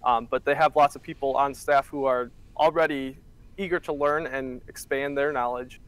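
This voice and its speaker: A man's voice: medium pitch, normal speed, no echo, low-quality recording. Young man chatting on a video call. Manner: relaxed, chatty, frequent fillers and restarts. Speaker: guest, church media worker. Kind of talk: casual interview answers. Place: small office indoors. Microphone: webcam on a video call.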